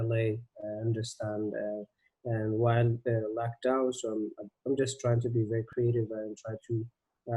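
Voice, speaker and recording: Young man from Sweden, evenly pitched. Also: dark tone, poor audio. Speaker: prospective film student. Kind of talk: self-introduction on a group video call. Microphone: video call.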